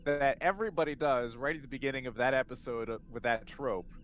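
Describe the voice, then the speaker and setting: A man talking casually as a player in a tabletop game, informal and thinking out loud. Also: mid-range voice, brisk, a little hiss or hum.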